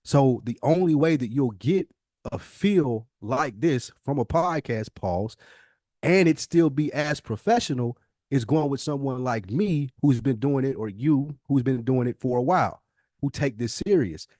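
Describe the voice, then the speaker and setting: Male podcast co-host, mid-pitched voice, dry close sound. Casual but insistent persuader who drives points home with repetition. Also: expressive, a little slow, mellow, no room sound. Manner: emphatic, insistent, repeats phrases in lists. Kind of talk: casual conversational sales pitch.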